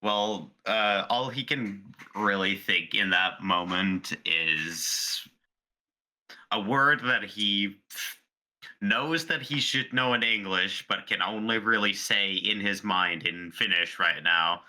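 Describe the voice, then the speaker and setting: A man playing a tabletop roleplaying game, speaking in character with hesitant pauses and many fillers. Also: fairly low pitch, sharp tone, low-quality recording. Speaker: player.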